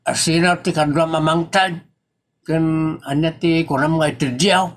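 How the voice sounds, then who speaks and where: A man's voice: a little high, fairly even intonation, measured pace, natural voice. A man with an Ilocano accent playing a grumbling, easily flustered older husband in a comedy audio drama, with blustering exclamations. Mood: flustered, suspicious.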